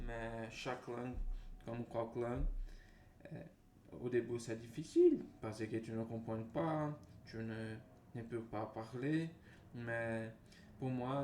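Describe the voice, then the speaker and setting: A man from Brazil with a Brazilian accent and Portuguese as his native language, talking unscripted to viewers in a halting, repetitive way as a language vlogger. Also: medium pitch, a little mellow. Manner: halting, repeats words and phrases. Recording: roomy echo, faint background noise.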